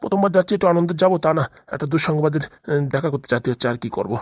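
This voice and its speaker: Give this comedy cartoon a worried middle-aged father, acted by a woman. The voice sits low and rattles along very quickly. He frets in homely, colloquial speech, and the sound is very dry. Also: animated, no echo. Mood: fretful. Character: worried middle-aged father and future father-in-law, chatty, caring.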